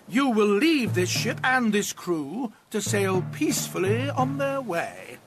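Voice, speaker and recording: Flamboyant alien hero, audio drama, big music underneath. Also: male voice, high-pitched, sing-song, takes it slowly, heavily acted. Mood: commanding. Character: grandiose, flamboyant male time-travelling alien hero.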